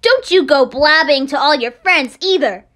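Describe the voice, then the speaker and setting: A woman's voice: sits high, lively intonation, moderate pace, a bit bright, pitched below the performer's own voice. A woman voicing a brash, hot-tempered teenage girl in an anime game, blunt and scolding. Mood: indignant. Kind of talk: amateur anime game voice acting.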